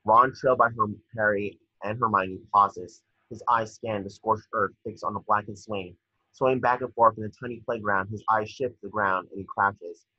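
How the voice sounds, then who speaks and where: A man's voice: lowish voice, steady tone, normal speed, pushed and bright, breathy edge, no echo. A man reading stage directions aloud as narrator at a table read, now and then stumbling over words. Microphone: video call. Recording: dull lo-fi video call audio.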